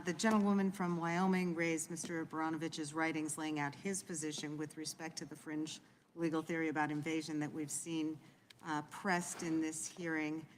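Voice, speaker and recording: A woman speaking as ranking member of a committee, measured and procedural. Her voice is very low for a woman. The long reverb of a hearing room carries her words, with faint noise underneath. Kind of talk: formal committee remarks.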